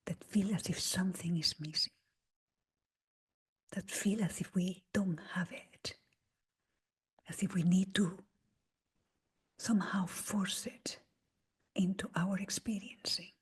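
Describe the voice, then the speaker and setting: Hushed, breathy woman guiding meditation slowly. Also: on the low side, fairly even intonation. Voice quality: breathy, husky, near-whisper. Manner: gentle, unhurried, with long pauses between short phrases. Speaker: meditation teacher leading a guided meditation. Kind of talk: slow guided meditation talk.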